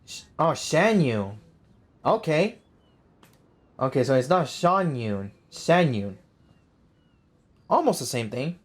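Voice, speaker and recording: A woman hosting a gaming video in a low, unhurried voice. Her commentary is casual, slangy and joking, with huge swings in pitch over faint game music. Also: sing-song. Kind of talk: casual let's play commentary.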